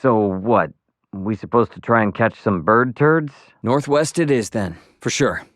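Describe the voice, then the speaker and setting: A man's voice: lowish voice, unhurried, no room sound, studio quality, lightly acted. A man playing a brash, casual young video game adventurer, slangy and wry, impatient but good-hearted. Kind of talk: video game character voice lines.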